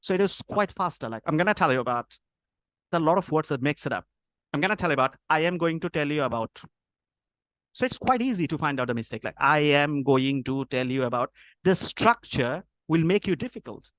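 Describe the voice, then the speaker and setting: A man's voice: a little high, animated, brisk, fairly bright tone, dry recording, low-quality recording. Bangladeshi man coaching in dry audio. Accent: Bangladeshi English. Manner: hesitant, many "uh" fillers, mimics accents in examples. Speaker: IELTS speaking coach. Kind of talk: spoken feedback in a mock speaking test.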